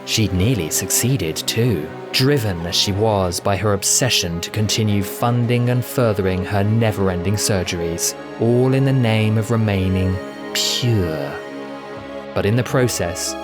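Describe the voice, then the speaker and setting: Low-voiced man narrating a story. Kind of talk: scripted dramatic audiobook narration.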